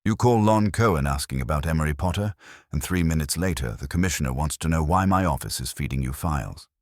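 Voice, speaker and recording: A man voicing a gruff, irritable, weary police inspector in a detective audiobook, blunt, clipped and bossy. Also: very deep voice, lively intonation, quick pace, a little mellow, no echo, clean studio sound. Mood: irritated, blustering.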